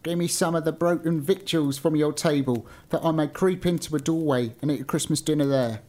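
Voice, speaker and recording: A man's voice: fairly high pitch, brisk, darkish tone, pitched above the performer's own voice, lightly acted. A man voicing a humble, ragged old beggar from a storybook, pleading for charity.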